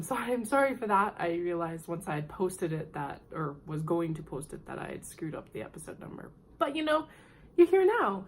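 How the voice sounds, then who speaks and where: A woman hosting a knitting podcast, sick and nasal, chatting in a rambling, friendly way and clearing her throat now and then. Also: lowish voice, slight background noise. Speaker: podcast host. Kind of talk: casual knitting podcast chat.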